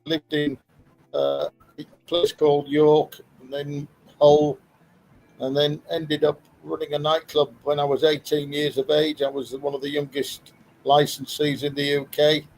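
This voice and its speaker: Guest, Guyana-born man, middle-aged, gravelly, rambling storyteller, faint line noise. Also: fairly high pitch, monotone, a little slow, a little mellow, rough recording. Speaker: guest, storage business owner. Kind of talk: casual video-call interview. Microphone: video call.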